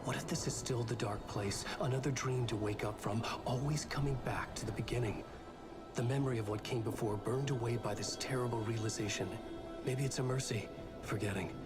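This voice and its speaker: Brief: actor as a haunted writer, game monologue, low-key half-whisper, mid pitch, loud score underneath. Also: male voice, fairly quick, loud music behind the voice, lightly acted. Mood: brooding. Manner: quiet, brooding, half-whispered inner monologue. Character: haunted male writer trapped in a loop. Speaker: protagonist narrating inner thoughts. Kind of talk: video game cutscene narration.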